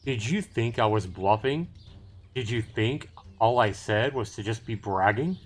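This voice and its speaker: A man's voice: fairly low pitch, lively intonation, unhurried. Man reading as arrogant taunting elf captor. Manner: curt, condescending.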